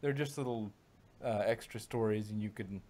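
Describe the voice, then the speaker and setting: A man's voice: lowish voice, normal speed, a little mellow. A man chatting casually as the co-host of a show. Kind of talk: casual chat.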